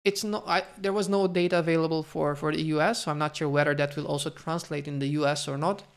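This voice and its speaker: A man's voice: on the high side, steady tone, fast, vocal fry, clear, resonant tone. A young man hosting a finance channel, talking through a stock valuation over slides and thinking aloud with fillers, restarts and careful hedging. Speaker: finance video host.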